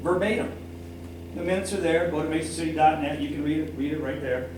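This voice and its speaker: A man's voice: a little high, quick pace, a little mellow. A man giving impassioned, emphatic public comment, repeating points for stress. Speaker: citizen speaker at a public council meeting. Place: indoor council meeting room. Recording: echoey meeting room, distant mic, noisy hum.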